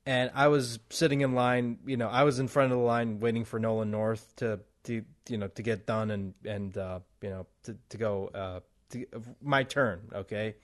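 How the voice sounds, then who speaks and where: A man's voice: lowish voice, steady tone, normal speed, few pauses. A man interviewing a guest in a casual chat, telling stories and laughing as he talks. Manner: storytelling, laughs while talking.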